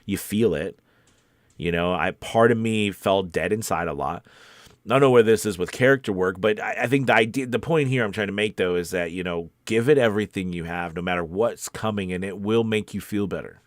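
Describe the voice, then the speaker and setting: A man's voice: on the low side, a little fast, no echo, studio quality. A man chatting casually and encouragingly as the host of a voice acting livestream, in an earnest, conversational, rambling way. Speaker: voice actor hosting a coffee-time livestream.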